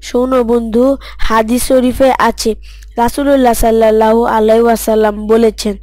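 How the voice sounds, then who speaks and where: A woman's voice: fairly high pitch, level tone, normal speed, darkish tone, no room sound, studio quality, close to an everyday voice. A woman voicing a pious, studious young boy who recites earnestly and formally in a cartoon story. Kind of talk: scripted cartoon story dialogue.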